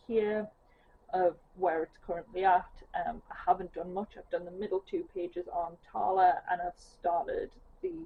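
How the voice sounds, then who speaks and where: Geordie woman, casual chatty vlogger; fry in the voice, faint hiss behind. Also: on the low side. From northeast England. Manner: chatty, rambling with many ums. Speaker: craft vlogger. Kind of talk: casual chat to camera. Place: home living room, sitting on a sofa. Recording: tinny phone-like sound with faint hiss.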